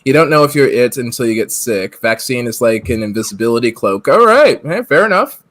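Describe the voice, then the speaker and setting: A man's voice: medium pitch, animated, a little fast, a bit bright. A man in his thirties co-hosting a casual live-stream podcast from home, laughing often and reading the chat aloud. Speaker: podcast co-host. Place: home room, on video call.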